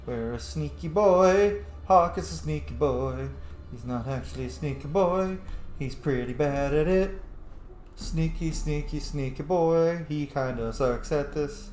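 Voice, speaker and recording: Guy doing a lets play, slightly high voice, sweary n playful, takes his time, roomy sound. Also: slow pace, darkish tone, soft background music. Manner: playful, sweary, muttering to himself. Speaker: let's player hosting a gameplay series. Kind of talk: casual playthrough commentary.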